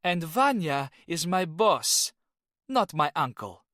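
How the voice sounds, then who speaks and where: A man voicing a cold, ruthless young woman operative in an audiobook, calm and commanding. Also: on the high side, slow pace, no room sound, clean studio sound, voice pushed up, full character voice. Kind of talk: audiobook character voice.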